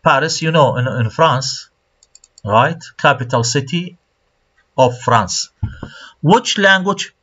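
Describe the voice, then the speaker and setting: A man with an Iraqi Arabic accent teaches in a recorded online lecture. He speaks slowly and his pitch swings widely as he stresses words. He repeats key points and asks rhetorical questions. The sound is dull and lo-fi, like a screen recording. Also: animated, bright, forceful tone, husky. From Iraq.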